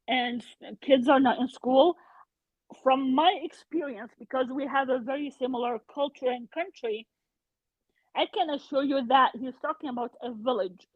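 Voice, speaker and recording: A woman from Iraq speaks as a podcast guest over a tinny, phone-like line. Her voice sits high and the sound is dry and close, and she talks in an animated way, with lots of ums and likes. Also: no room sound. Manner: animated, frustrated, loud with many fillers. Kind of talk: casual conversation.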